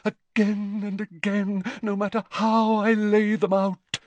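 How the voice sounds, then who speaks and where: A man voicing a haughty older female divination teacher in a storybook, with theatrical, drawn-out words and sniffy, mystical self-importance. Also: high voice, little pitch movement, lo-fi sound, slight background noise, voice pushed up. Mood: ominous.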